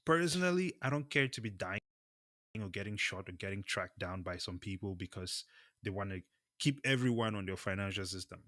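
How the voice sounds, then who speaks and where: Guy from cameroon co-hosting a crypto talk show, lowish voice, dry close sound, emphatic opinions. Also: animated, brisk, bright, forceful tone, dry recording. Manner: emphatic, checks in with "right?". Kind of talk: casual opinionated discussion.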